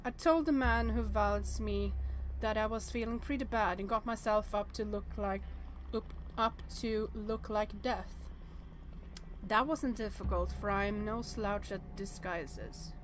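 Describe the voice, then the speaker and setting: A woman game streamer reading a visual novel aloud with casual commentary, often hesitant and thinking out loud in fragments. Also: medium pitch, unhurried, slight background noise.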